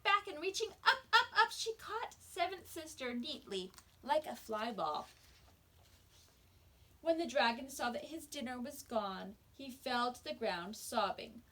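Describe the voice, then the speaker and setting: A woman teacher reading a picture book aloud to young children with expressive storytelling and dramatic pauses. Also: high voice. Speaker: kindergarten teacher.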